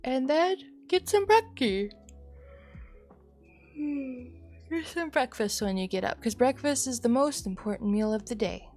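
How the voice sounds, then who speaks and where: A woman live streamer chatting casually to viewers in an expressive, rambling, playful way, sounding a little sleepy. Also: high voice, a little slow. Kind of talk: casual chatty livestream sign-off. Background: music.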